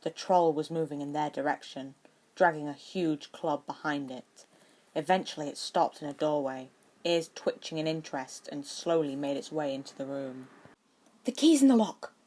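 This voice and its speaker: Woman narrating an audiobook, lowish voice, reading a story from a script. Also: steady tone, moderate pace, a little mellow.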